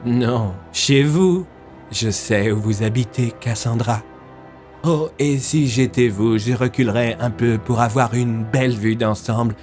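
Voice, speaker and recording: A man's voice: medium pitch, a little slow, a little mellow, close to an everyday voice. Male actor as cold stalker villain, controlled, chilling, threatening, audio drama, music underneath. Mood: cold menace. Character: menacing male bomber stalking a journalist.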